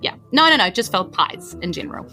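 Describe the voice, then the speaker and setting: A woman runs a tabletop game as its dungeon master. Her voice is bright and forward, and she narrates in a casual, conversational way. Faint music plays behind her. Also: lowish voice, sing-song, fairly quick.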